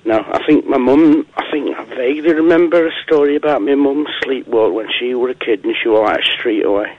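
A Northern English man with a scratchy voice, hoarse from a cold, chatting casually as a phone-in caller. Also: mid-range voice, a little fast, lo-fi sound. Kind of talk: casual chat.

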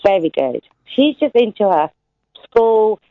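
A woman's voice: medium pitch, sing-song, measured pace, dry recording. Chatty woman caller on a tinny phone line. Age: 30s. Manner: chatty and effusive. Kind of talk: casual phone-in chat. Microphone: telephone.

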